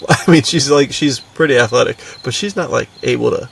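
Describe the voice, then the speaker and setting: Very gravelly young man chatting casually. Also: mid-range voice, normal speed, a bit bright, far from the mic, slight background noise. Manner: slangy, buddy-like, frequently chuckling. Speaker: podcast co-host. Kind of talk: casual enthusiastic chat.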